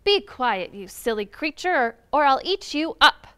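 A woman voicing a grumpy, threatening male wolf in a children's story, scolding slowly and emphatically. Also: on the high side, lively intonation, fairly bright tone, clean tone, voice pushed down, exaggerated voice. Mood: irritated.

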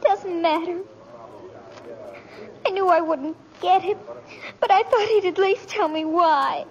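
A woman's voice: extremely high pitch, takes it slowly, highs cut off. A female actor playing an earnest, stubborn little girl in a 1940s radio drama. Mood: disappointed.

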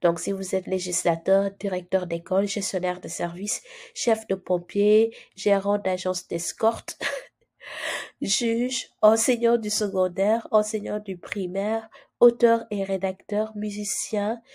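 A woman walks viewers through a website in a relaxed, chatty way, pausing often and restarting her sentences. She has a cold and keeps her voice low, so it comes out husky. Her tone is mellow and dark rather than bright. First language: French. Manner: chatty, hesitant with frequent fillers and restarts. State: has a cold, speaking softly. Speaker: immigration channel host. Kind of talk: screen-share tutorial, casual explaining.

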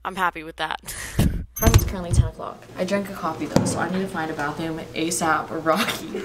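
A 19-year-old woman from Canada with a North American accent, chatting casually to a handheld camera in a slangy, candid, self-deprecating way. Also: mid-range voice. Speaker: vlogger, high school student. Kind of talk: casual chatty daily vlog. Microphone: handheld camera at arm's length.

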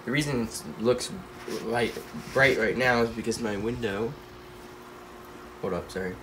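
A man's voice: mid-range voice, measured pace, noisy background. A teenage boy with a stuffy nose, rambling casually with lots of fillers while he films his pet tanks at home on a handheld phone. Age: teens. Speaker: pet channel host. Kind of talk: casual pet care vlog. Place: home room full of tanks. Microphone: handheld phone filming tanks.

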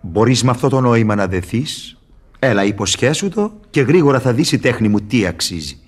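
Sly devil, voice swooping widely. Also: male voice, mid-range voice, lively intonation, husky. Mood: sly, persuasive. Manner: theatrical, mocking, wheedling. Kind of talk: radio theatre acting in verse.

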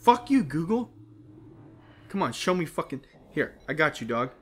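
A man's voice: on the high side, sing-song, normal speed, fairly bright tone, slightly rough, faint music behind. A man co-hosting a gaming show, bantering casually in a crude, goofy, sweary manner over a video game.

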